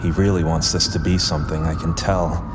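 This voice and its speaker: A weary male paramedic, played by an actor in an audio drama, speaking in a lowish voice. He is gruff, sardonic and fed up, yet dedicated, with clear vehicle noise around him. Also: steady tone, brisk, close to an everyday voice. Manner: sardonic, exasperated, swearing. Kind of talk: audio drama acting with internal narration. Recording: clear vehicle ambience behind the voice. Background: ambulance and traffic sound effects.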